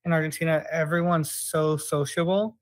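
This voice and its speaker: A young American man telling stories in a relaxed way with lots of "uh"s as a podcast guest. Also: on the high side, normal speed, no room sound. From United States. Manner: relaxed storytelling with many fillers. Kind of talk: casual conversation.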